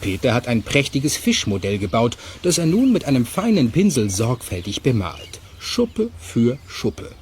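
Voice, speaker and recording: A man narrating a children's audio play in a clear scripted storytelling style. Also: mid-range voice, wildly swooping pitch, unhurried, hardly any pauses, darkish tone, a little hiss or hum.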